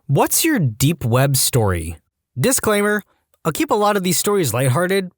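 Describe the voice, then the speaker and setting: A male narrator reads posts in a scripted, wry storytime style. His pitch swings in a lively way from line to line. The sound is close and dry, with no room around it. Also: dry recording. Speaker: narrator reading online posts.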